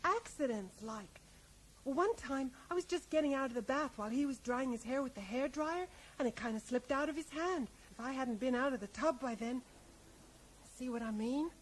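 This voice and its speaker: An actress plays a high-voiced American widow in a radio play. She is plain-spoken, resigned and unsure of herself, rambling along and tacking small questions onto her remarks. A faint noise sits on the recording. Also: normal speed, close to an everyday voice, poor audio. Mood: matter-of-fact, reflective. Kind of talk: radio drama dialogue.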